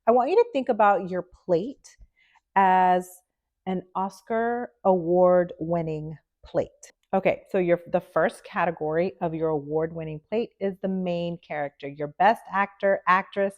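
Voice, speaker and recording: A woman's voice: fairly low pitch, a little slow, a little mellow, lo-fi sound. A woman nutritionist vlogging to camera, upbeat and chatty, with a nasal sound from a cold, checking in with "okay?". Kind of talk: direct-to-camera health vlog.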